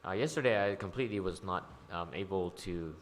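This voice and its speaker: A man's voice: sits low, brisk, a little hiss or hum. A man interpreting a sermon into English, hesitant, with frequent ums and uhs. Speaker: church interpreter.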